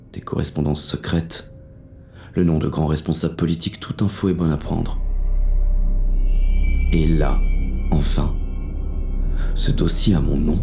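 An actor playing a menacing, weary police inspector who narrates a noir audio drama in a gritty, terse, deliberate way. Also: male voice, a bass voice, over music. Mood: stunned. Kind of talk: scripted first-person noir audio fiction narration.

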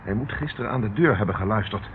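An actor in a radio drama playing a calm, methodical police inspector with a businesslike, questioning manner. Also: male voice, fairly low pitch, fast, close to an everyday voice. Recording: tinny, noisy old radio recording.

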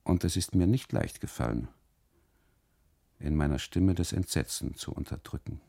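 A man narrating a literary radio monologue in deliberate phrases, with dramatic pauses and emphasis. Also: low-pitched, lively intonation, creaky, warm tone, breathy edge. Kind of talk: literary first-person narration, 1980s German radio play.

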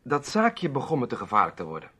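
A man's voice: mid-range voice, wildly swooping pitch, fast, sharp tone, slightly rough, exaggerated voice. A man acting an easygoing, shrewd adventurer in a Dutch radio drama, explaining himself in a genial, conversational manner. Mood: confiding, composed.

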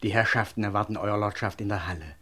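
An actor playing a formal, discreet English manservant in a German radio crime play, deferential and measured. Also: male voice, on the low side, pushed and bright.

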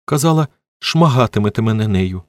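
Man playing trembling, high-voiced little boy. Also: very animated, moderate pace, vocal fry, no room sound. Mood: frightened. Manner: plaintive, childlike, repetitive. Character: frightened, hurt little boy, shy and sensitive.

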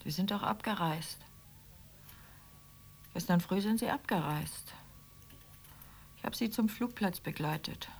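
An actress playing a chatty middle-class Roman wife and would-be writer in radio play dialogue. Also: female voice, low-pitched, creaky, exaggerated voice. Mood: wistful.

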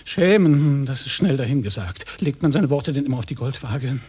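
A man's voice: mid-range voice, lively intonation, normal speed, a little mellow, breathy edge. An actor playing a vain, pushy fake policeman in a German radio play, pompous, lecherous and pedantic. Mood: indignant, wheedling.

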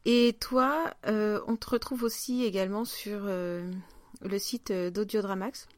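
A woman's voice: medium pitch, steady tone, measured pace, no room sound. A woman hosting a podcast, chatting informally as she interviews her guest. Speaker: podcast host. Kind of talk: casual conversational interview.

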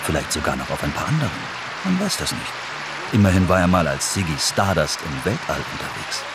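A man's voice: sits low, big pitch swings, fairly quick. A man narrating a scripted radio documentary in short, present-tense scenic sentences. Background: loud music.

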